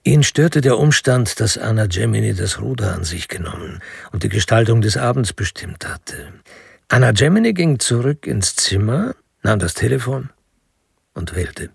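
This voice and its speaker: Creaky male crime-story narrator. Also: lowish voice, expressive, moderate pace, dry recording, studio quality. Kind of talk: literary narration in a radio crime play. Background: music.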